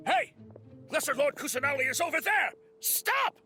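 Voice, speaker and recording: A man's voice: very high-pitched, sing-song, moderate pace, bright, forceful tone, soft background music, heavily acted. A man voicing an aggressive, alarmed city guard in a game, urgent and indignant as he barks. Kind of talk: dramatic game voice acting.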